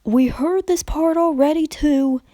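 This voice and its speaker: A woman's voice: sits high, a little slow, mellow, pitched above the performer's own voice. A woman voicing a blunt, tough orange female earth pony with a brusque way of talking who cuts others off.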